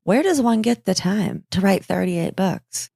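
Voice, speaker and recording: A woman's voice: medium pitch, expressive, measured pace, gravelly, mellow, husky, dry recording, clean studio sound. A woman co-hosting a podcast, telling a story in a casual, irreverent, sarcastic way and swearing freely. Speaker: podcast co-host.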